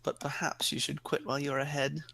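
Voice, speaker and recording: A woman voicing a calm, polite, gently chiding alien princess with formal, measured speech, in a story reading. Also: sits low, vocal fry, pitched below the performer's own voice. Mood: calm, confident.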